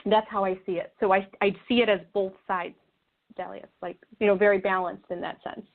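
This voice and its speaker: A woman guest explaining her views in an interview, earnest and reflective, with halting phrasing. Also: mid-range voice, fairly even intonation. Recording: thin phone-like call audio.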